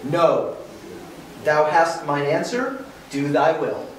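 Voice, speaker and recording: A man's voice: a little high, sing-song, takes it slowly. A man playing an impassioned, devout rebel holy man in a dramatic reading of an archaic verse play, declaiming his lines. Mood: impassioned, defiant. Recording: echoey conference room, distant, faint noise.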